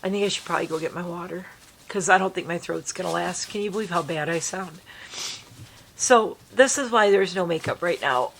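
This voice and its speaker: A woman chatting casually to camera from her bed, hoarse and stuffy from allergies, coughing now and then, rambling and apologetic. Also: fairly low pitch, moderate pace, a bit bright, low-quality recording. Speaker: vlogger. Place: bedroom at home, dim light. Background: crinkling dog toy, faint noise.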